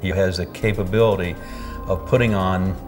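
An American man speaking as an expert interviewee, giving measured commentary in English. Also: low-pitched, steady tone, music in the background.

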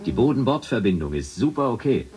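An actor playing a breezy, pushy shuttle commander giving a slangy pep talk in a radio drama. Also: male voice, mid-range voice, very animated, brisk, a crowd in the background, natural voice. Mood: breezy, boastful. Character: jovial, pushy male shuttle mission commander. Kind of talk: radio drama, radio address to crew.

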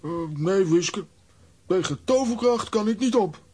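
A bumbling, cocky cartoon adventurer in an audio play for children. The voice is high and husky, swinging about as he hems and then speaks with pompous formality. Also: expressive, measured pace, darkish tone, exaggerated voice. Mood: hesitant. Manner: hesitant "eh" openers, pompous formality. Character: bumbling, cocky male comic-strip adventurer. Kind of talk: children's audio drama acting.

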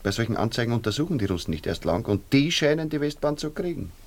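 A man's voice: mid-range voice, sing-song, fast. An actor with a Viennese accent playing a worried, gossipy and practical working man in a radio drama, grumbling colloquially. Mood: worried, urging. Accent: Austrian, Viennese.

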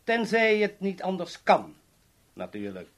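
Courteous armed boss, higher-pitched, lively melodic speech, formal and smoothly menacing radio-play villain. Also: male voice, unhurried, full character voice. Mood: smooth menace. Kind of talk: 1970s radio play acting.

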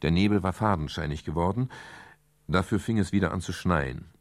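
A man's voice: low voice, fairly quick, no echo, lightly acted. An actor playing a sardonic private detective who narrates his case in a dry, laconic, brusque way in a German radio crime drama. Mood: laconic, brooding.

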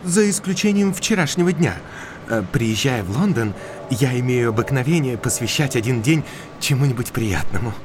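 Halting, polite gentleman doctor, played by an actor. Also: medium pitch, animated, background noise, natural voice. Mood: polite, hesitant. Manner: halting, with little filler sounds. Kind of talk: audio drama acting.

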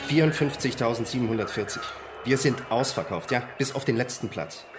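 An actor playing a stressed, irate football club manager, blunt and crude in naturalistic radio drama dialogue. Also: male voice, mid-range voice, a little fast, music in the background, lightly acted. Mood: exasperated. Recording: clearly noisy ambient scene.

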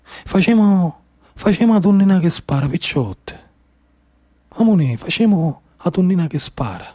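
A man's voice: sits high, big pitch swings, unhurried, mellow. A man with a Sicilian accent from the Trapani area delivers a theatrical storytelling monologue in an incantatory, rhythmically repetitive way. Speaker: actor and storyteller. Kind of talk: theatrical monologue storytelling, poetic narration mixed with dialect.

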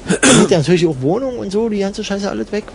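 Man acting a high-voiced, sardonic bored traveller. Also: lively intonation, quick pace, vocal fry, a little hiss or hum. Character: bored, sardonic, contrary male travel companion. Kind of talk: conversational improvised radio play dialogue.

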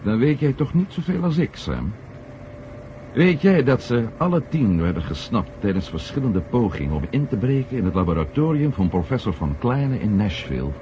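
A man's voice: medium pitch, big pitch swings, moderate pace, mellow, close to an everyday voice. A man acting a stubborn, suspicious journalist and former astronaut in a radio drama, insistent and wry. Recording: muffled, noisy 1970 radio recording.

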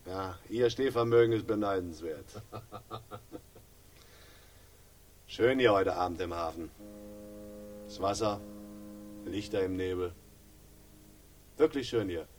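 A man's voice: low-pitched, slow, long pauses, slight background noise. An actor playing a grimly ironic police inspector in a radio crime drama, mock-jovial and hesitant, repeating himself as he needles. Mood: falsely casual. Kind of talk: 1960s German radio crime drama acting.

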